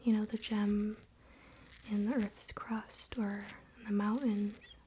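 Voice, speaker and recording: A woman whispering breathily and softly in an unhurried, casual, chatty ASMR style, close to the microphone. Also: mid-range voice, little pitch movement, slight background noise. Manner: unhurried, soft-spoken, informal. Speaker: ASMR creator and crystal seller.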